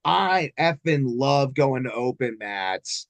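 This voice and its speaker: A man's voice: medium pitch, lively intonation, slow pace, vocal fry, no room sound. A man taking part in a call, chatting casually and breaking in with informal interjections. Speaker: call participant. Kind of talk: casual chat.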